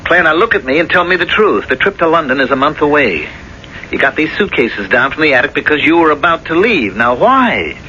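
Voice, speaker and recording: An actor playing an insistent, protective husband, earnest and emotional, in a 1970s radio drama. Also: male voice, on the high side, sing-song, brisk, bright, forceful tone. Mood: stern, pressing. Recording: muffled, hissy 1970s radio broadcast.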